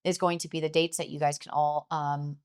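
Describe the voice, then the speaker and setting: A woman guest chatting in a friend's living room, talking fast and bubbly and laughing a lot. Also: low-pitched, flat delivery, smooth. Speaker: podcast guest. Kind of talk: casual chat.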